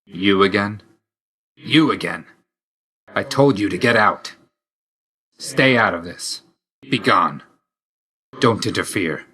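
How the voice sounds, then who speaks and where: A man's voice: fairly low pitch, animated, takes it slowly, long pauses, bright, forceful tone, exaggerated voice. A man voicing a grim, reserved god of death in a video game, speaking tersely and solemnly with dry loyalty. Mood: stern, commanding. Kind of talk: scripted video game voice lines.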